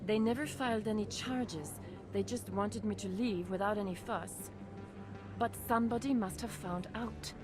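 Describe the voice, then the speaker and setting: An actress playing an anxious, gentle clinic doctor in a video game, speaking in an earnest, worried way. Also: female voice, mid-range voice, normal speed, music in the background, natural voice.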